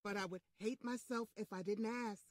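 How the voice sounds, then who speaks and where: A woman's voice: mid-range voice, steady tone, normal speed, dry recording, lightly acted. A woman playing a worried, blunt and loyal friend in an old-time radio style drama, with an emphatic, cautioning manner. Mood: concerned.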